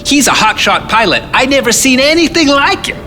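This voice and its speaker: An actor playing a small, furry, eager alien sidekick in a video game, chatty and ingratiating. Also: male voice, extremely high pitch, very animated, brisk, sharp tone, exaggerated voice. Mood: boastful, ingratiating. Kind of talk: video game cutscene acting. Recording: long reverb with music behind.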